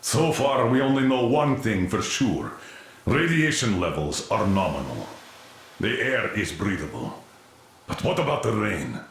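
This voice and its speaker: A man acting a stern, weary military commander in a video game, gruff, authoritative and sardonic. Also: fairly low pitch, big pitch swings, a little slow, very echoey, slight background noise, heavily acted. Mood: sardonic, insistent.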